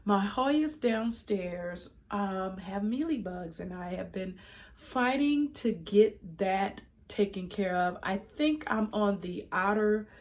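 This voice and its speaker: Slow-talking congested woman vlogger. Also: medium pitch, a little mellow. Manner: chatty, frequent ums and restarts. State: congested with a cold. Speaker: plant vlogger. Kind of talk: casual vlog tour.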